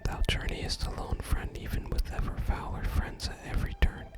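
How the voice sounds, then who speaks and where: A person whispering softly through an ASMR video game playthrough, commenting and reading text aloud. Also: moderate pace. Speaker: ASMR gaming creator. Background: game music.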